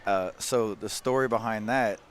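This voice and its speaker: A man in his late thirties talking in a relaxed, modest way as a guest commentator beside the mat. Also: on the low side, normal speed, clear, resonant tone. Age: 30s. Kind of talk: casual mat-side commentary. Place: grappling tournament mat-side.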